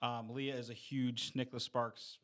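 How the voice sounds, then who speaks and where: A man's voice: mid-range voice, little pitch movement, normal speed, a bit bright. A man chatting casually in a group, rambling and often backtracking mid-sentence.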